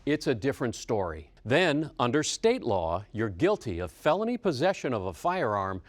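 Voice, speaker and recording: An American man narrates a television news report. His voice sits at a middle pitch, and he delivers scripted copy as a reporter. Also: sing-song, normal speed, no echo.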